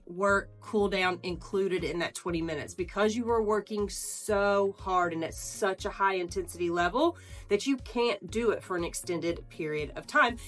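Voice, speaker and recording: Slightly nasal woman coaching fitness. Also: mid-range voice. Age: 40s. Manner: warm, earnest, explains step by step with pauses. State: getting over the flu. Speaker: wellness coach. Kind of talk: instructional talk to camera.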